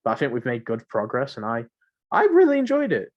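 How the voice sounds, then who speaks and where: A man's voice: medium pitch, huge pitch swings, fairly quick. A young man co-hosting a podcast in casual, rambling, informal chat. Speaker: podcast co-host. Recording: muffled lo-fi home recording.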